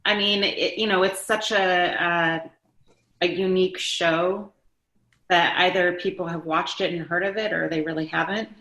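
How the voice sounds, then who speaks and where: Bright-voiced woman, echoey room. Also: lowish voice, steady tone, moderate pace. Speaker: guest, daughter of an interviewed actor. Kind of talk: casual chat, reminiscing. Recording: roomy, echoey sound.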